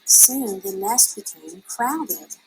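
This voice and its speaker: Slow, expressive woman reading a children's story. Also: mid-range voice, over loud music, low-quality recording. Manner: unhurried, dramatic delivery with drawn-out words. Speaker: storybook reader.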